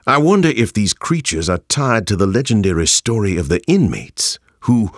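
Deep, husky, ominous monster chronicler. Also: male voice, big pitch swings, no echo, studio quality. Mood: grim fascination. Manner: ominous, erudite, deliberate phrasing. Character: grave learned man narrating his monster journal. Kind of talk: scripted dramatic game narration.

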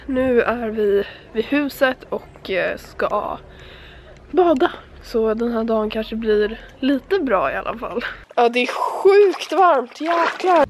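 A woman's voice: a little high, lively intonation, roomy sound, a little hiss or hum. A young woman vlogging into a handheld camera, complaining with many fillers. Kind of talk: casual travel vlog, then sit-down rant to camera. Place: outdoor theme park and parking lot, then a tiled bathroom. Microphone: handheld camera at arm's length.

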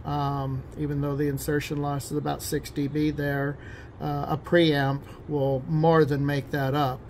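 Gravelly man explaining technical gear. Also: a little high, darkish tone, slight background noise. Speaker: radio technician giving a demonstration. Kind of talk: informal technical explanation over a demonstration.

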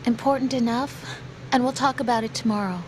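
A woman's voice: on the high side, steady tone, fairly quick, close to an everyday voice. A woman playing a tender but evasive young analyst in a video game. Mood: hesitant, wistful. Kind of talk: video game radio-call dialogue. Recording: clear background noise.

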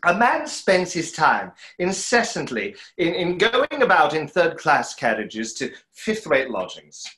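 A man's voice: a little high, huge pitch swings, a little slow, bright, forceful tone, exaggerated voice. A man in his thirties playing a wistful, self-doubting stage conjurer in a play read over a video call, hesitant and reflective. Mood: wistful, storytelling. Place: small home room, on a video call. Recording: roomy echo of a video call.

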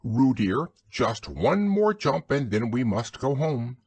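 A man voicing a motherly, polite, slightly distracted female kangaroo in a storybook, fussing gently. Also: medium pitch, very animated, slow, slightly rough, no echo, pitched above the performer's own voice, heavily acted.

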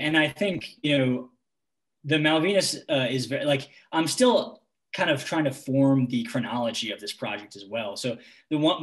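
A young man giving an academic talk and answering questions over a video call, hesitant and full of "like" and "kind of". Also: mid-range voice. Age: young adult. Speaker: guest lecturer. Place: home room with guitars on the wall. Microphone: laptop webcam mic on video call.

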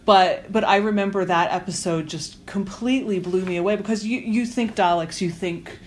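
A woman's voice: medium pitch, moderate pace. A woman interviewing someone for a podcast in a casual, conversational way. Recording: clear background noise, room ambience.

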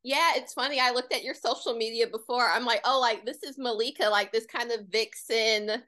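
A woman's voice: a little high, steady tone, normal speed, sharp tone. A woman in her twenties from Detroit, asking casual interview questions over a video call in a friendly, curious way.

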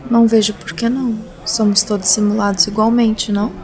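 A woman's voice: mid-range voice, little pitch movement, fairly quick, darkish tone, natural voice. A woman with a Brazilian accent voicing a questioning, reasoning patrol member in an audio drama. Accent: Brazilian Portuguese.

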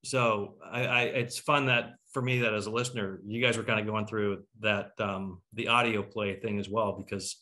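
Low-voiced middle-aged man on a video call. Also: fairly even intonation, moderate pace, few pauses, bright, forceful tone. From Toronto, Canada. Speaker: host. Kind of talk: casual panel discussion. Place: home room, on a video call.

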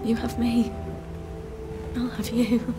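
Slow, gentle British young mother. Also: fairly high pitch, level tone. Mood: tender, relieved. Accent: British English. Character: tender, weary young mother soothing her baby. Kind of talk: video game character acting. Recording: game audio under loud music.

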